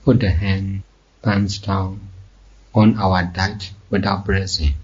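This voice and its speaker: A man teaching guided meditation slowly, in measured short phrases with long pauses. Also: sits low, level tone, darkish tone, breathy edge. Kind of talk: slow guided meditation instruction. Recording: dull, muffled lo-fi recording.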